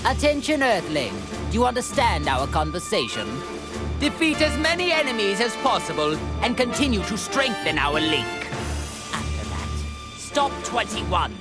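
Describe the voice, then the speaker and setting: A man's voice: high-pitched, sing-song, normal speed. A man voicing a cruel, refined alien tyrant in a video game, with haughty, sneering, formal speech. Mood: scheming, commanding. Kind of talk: theatrical video game voice acting. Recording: loud music behind the voice.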